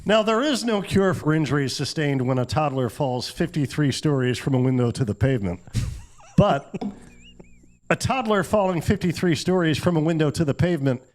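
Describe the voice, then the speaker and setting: A man's voice: on the high side, expressive, moderate pace. A man co-hosting a comedy podcast, telling stories in a wry way and acting out imagined reactions. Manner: wry, acts out imagined quotes. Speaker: podcast co-host telling the story. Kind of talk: comedic storytelling commentary.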